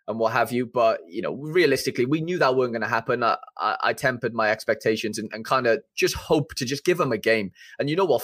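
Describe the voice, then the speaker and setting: A man's voice: medium pitch, a bit bright, no room sound, clean studio sound. A young Welsh man with a Welsh accent, a podcast guest chatting casually, rambling and eager with many fillers and restarts. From Wales.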